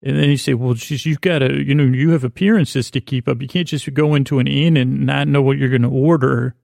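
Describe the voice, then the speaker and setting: A man with a creaky voice hosting a bedtime podcast in a meandering, improvised monologue, halting and often trailing off mid-sentence. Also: mid-range voice, fairly even intonation, a little mellow, dry recording, studio quality. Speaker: podcast host.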